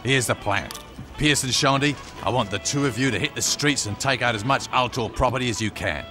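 A man's voice: medium pitch, fairly quick, music in the background, close to an everyday voice. A man acting as a tough street gang boss in a video game, blunt, terse and commanding.

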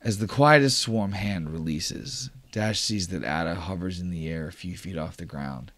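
A man's voice: deep voice, moderate pace, fairly bright tone, no echo. A man running a tabletop roleplaying game as game master, narrating vividly with frequent fillers like "like.".

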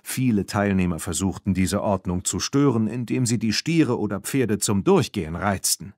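Low-voiced male storyteller, very dry sound. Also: animated, normal speed, a little mellow, dry recording. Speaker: audiobook narrator. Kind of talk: scripted storybook narration.

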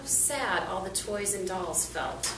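A woman's voice: lowish voice, animated, measured pace. A middle-aged woman reading a children's picture book aloud as a storyteller, indoors and a little way from the camera. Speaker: volunteer storybook reader. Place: small indoor room, seated. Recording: roomy, distant camera mic, faint noise.

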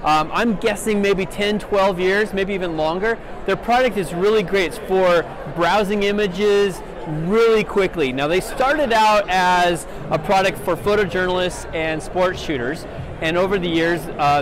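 A middle-aged man hosting a photography video, introducing a trade show visit in a relaxed way and correcting himself as he goes. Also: sits high, moderate pace, noisy background. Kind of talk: casual vlog intro. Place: busy trade show floor indoors. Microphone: phone at arm's length. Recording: crowded trade show hall.

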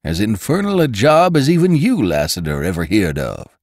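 A man voicing a loyal young ranch rider who protests in an excited way. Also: on the low side, normal speed, no room sound, studio quality, voice pushed up.

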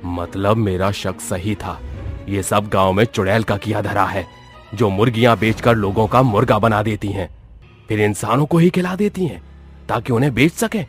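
A man's voice: on the low side, normal speed, soft background music, lightly acted. A man voicing a suspicious, determined village headman in a cartoon story. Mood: suspicious, resolute. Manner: brooding, determined.

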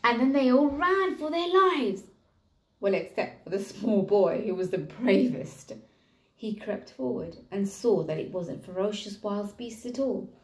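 Young woman storyteller, teacher style: expressive narration, animated pitch, room echo. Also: measured pace. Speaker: teacher reading to pupils. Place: small room indoors, at a table. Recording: roomy home recording.

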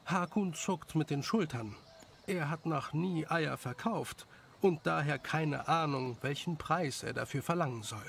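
Guy narrating a kids audiobook, croaky voice, noisy ambience behind him. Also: fairly high pitch, unhurried, fairly bright tone. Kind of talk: scripted audiobook narration of a children's story. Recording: clearly noisy background ambience.

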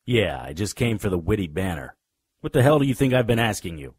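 An actor playing a sardonic, tough-talking private detective in a noir radio drama. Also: male voice, fairly low pitch, sing-song, brisk, slightly rough, dry recording, clean studio sound. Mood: sardonic, threatening. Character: hard-boiled male private detective, sardonic and threatening.

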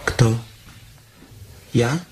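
An actor playing a simple-minded, innocent farm labourer in a radio drama, speaking in an earnest, childlike way. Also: male voice, drawn-out words, darkish tone. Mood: guarded. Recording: noisy radio play recording.

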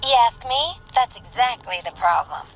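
A woman's voice: a little high, sing-song, moderate pace, a bit bright, slight background noise, rough recording. An actress playing a guilt-ridden, resolute female survivor in a video game audio log, grim and insistent, on a radio-like recording. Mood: grim resolve.

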